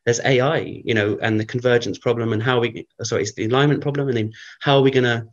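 A man, a guest researcher, thinking aloud in a casual interview, rambling with many fillers, his voice croaky from early morning. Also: medium pitch, steady tone, fast talker, lo-fi sound.

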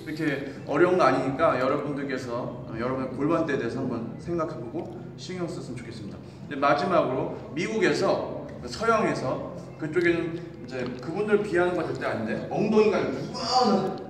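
A man in his thirties, a fitness coach, giving an animated exercise lesson while demonstrating moves in a large indoor gym, filmed from a distance. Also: fairly high pitch, a little fast, few pauses, background noise. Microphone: far camera. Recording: echoey gym from far off.

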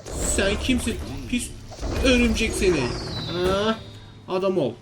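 A man's voice: sits high, takes it slowly, over music. A man giving casual, exclamatory let's-play commentary, talking to himself and his viewers. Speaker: gaming video host.

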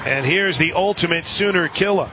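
An American man doing live radio play-by-play commentary of a softball game. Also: sits high, moderate pace, rough recording. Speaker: radio play-by-play sports announcer. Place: outdoor stadium broadcast position. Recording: crowd noise and music behind commentary. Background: stadium crowd and music.

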